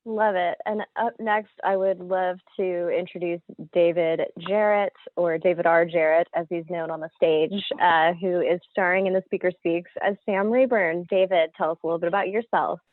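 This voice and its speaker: A woman's voice: fairly low pitch, fairly even intonation, normal speed, few pauses, no room sound. A woman with a gushing manner hosting a panel introduction over a narrow video call connection. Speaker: host, marketing director of a theater company.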